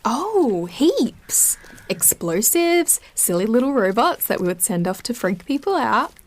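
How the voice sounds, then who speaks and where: A woman's voice: fairly high pitch, very animated, unhurried. An actress playing a guarded, matter-of-fact young woman in an audio drama, speaking casually. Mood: reminiscing.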